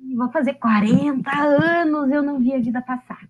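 A woman's voice: high-pitched, moderate pace, muffled recording, a little hiss or hum. A woman in her late thirties, a podcast guest, talking chattily about herself with self-deprecating humor and frequent laughs. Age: 30s. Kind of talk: casual interview.

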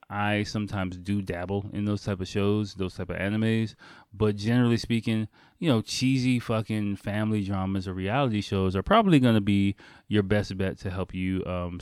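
Low-voiced man hosting a casual podcast. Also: a little mellow, no echo, studio quality. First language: English. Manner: informal, profane, conversational. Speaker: podcast host. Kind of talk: casual solo podcast talk.

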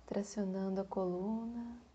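A Brazilian woman guiding a meditation in a soft, breathy, calm voice, leaving long pauses between short phrases. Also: medium pitch, lo-fi sound, slight background noise. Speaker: meditation guide. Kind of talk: guided meditation.

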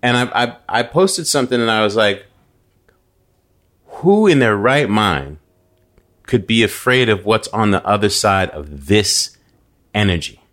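A man from New York talking casually on a podcast, animated and storytelling, with frequent restarts. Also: on the low side, unhurried, long pauses. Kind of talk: casual conversation.

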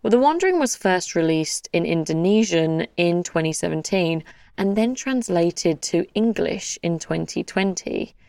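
A woman's voice: fairly low pitch, expressive, unhurried, vocal fry, a little mellow, no echo, studio quality, recorded from a distance. A woman hosting an interview show, reading scripted narration.

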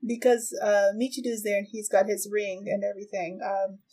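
A woman hosting a podcast, chatting casually in a halting, giggly way as she recaps a show. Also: medium pitch, fairly even intonation, dark tone, husky. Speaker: podcast host. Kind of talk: casual chat, recapping an anime.

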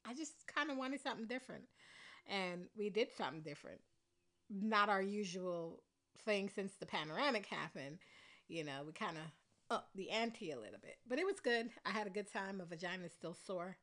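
Stuffy-nosed woman chatting casually, bright voice. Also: medium pitch, expressive, normal speed. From Caribbean. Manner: rambling, candid, with frequent fillers. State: congested, stuffy. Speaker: podcast host. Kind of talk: casual solo podcast chat.

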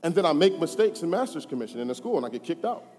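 A man in his thirties shares his testimony in a church hall, his slightly high voice ringing with long reverb. Soft music plays faintly behind him. He talks in an earnest, conversational way that swings from hushed to emphatic. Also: sing-song, very fast. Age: 30s. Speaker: church speaker giving testimony. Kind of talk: personal testimony.

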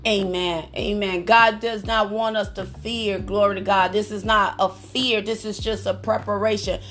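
A woman minister preaching fervently on a prayer call, with a slightly raspy voice and frequent bursts of praise. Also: mid-range voice, steady tone, normal speed, a bit bright, soft background music. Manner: fervent, repeats praise phrases.

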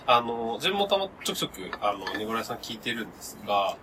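A man in his thirties chatting casually as a podcast co-host, excitable and gushing, often trailing into half-finished sentences. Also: medium pitch, fast, noisy background. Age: 30s. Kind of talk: casual chat among friends.

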